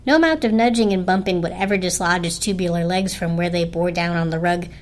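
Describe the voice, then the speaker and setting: A woman's voice: on the low side, fairly quick. A woman narrating a children's story as a dramatic storybook reading. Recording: music behind the voice. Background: music.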